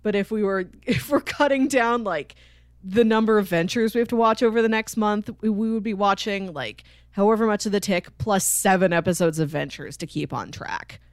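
A woman's voice: mid-range voice, moderate pace, dry recording, studio quality. A woman chatting casually as co-host of a podcast.

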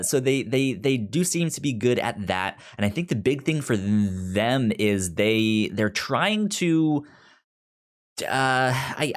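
Slow, stammering male podcast host. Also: fairly low pitch. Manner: slow, halting, with frequent stutters and restarts. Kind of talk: casual podcast chat.

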